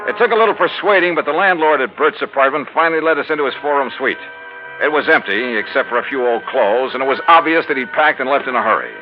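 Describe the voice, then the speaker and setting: An American man playing a brisk, inquisitive insurance investigator who also narrates, in 1950s radio drama style. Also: mid-range voice, over music, natural voice. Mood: narrating. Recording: muffled, noisy old radio recording.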